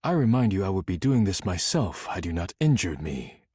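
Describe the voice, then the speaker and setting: A male actor playing a stern, proud, easily offended dishware character in a video game, speaking formally and curtly with solemn deadpan. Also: low-pitched, normal speed, slightly rough, dry recording, studio quality, heavily acted. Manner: formal, curt, deadpan, solemn, avoids contractions.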